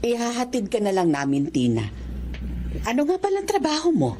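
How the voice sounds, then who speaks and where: A woman playing a gracious, well-off married lady in a radio drama, speaking in a gentle, measured way. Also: on the high side, wildly swooping pitch, over music. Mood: grateful, curious. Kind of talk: Tagalog radio drama acting.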